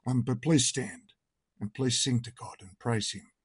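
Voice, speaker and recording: A man with a hoarse, croaky voice, leading an online worship service, reading a hymn and praying in a slow, earnest and reverent manner. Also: medium pitch, no echo, clean studio sound.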